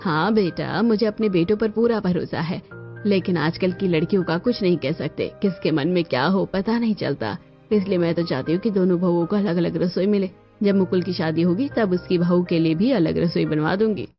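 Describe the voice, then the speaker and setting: A woman playing a firm, cautious mother in an animated family drama, insistently explaining her household plans. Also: fairly low pitch, moderate pace, dull audio, faint music behind, close to an everyday voice. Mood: firm, reassuring.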